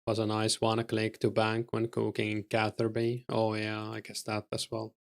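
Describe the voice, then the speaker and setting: A low-voiced man with a Finnish accent, speaking in a gravelly, monotone way. He chats casually with his stream's viewers while playing, answering them in a relaxed manner with plenty of fillers. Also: moderate pace. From Finland. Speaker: game streamer.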